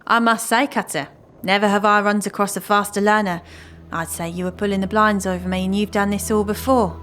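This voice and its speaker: A woman's voice: mid-range voice, steady tone, fairly quick, fairly bright tone, a little hiss or hum, lightly acted. A woman playing a cocky, streetwise thief who talks in wry, teasing slang in a fantasy audio drama. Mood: brisk instruction.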